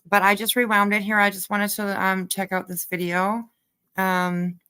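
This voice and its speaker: A woman's voice: mid-range voice, monotone, moderate pace, sharp tone, clear, resonant tone. A woman hosting a livestream, chatting and commenting casually in a hesitant, rambling way. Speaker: livestream host.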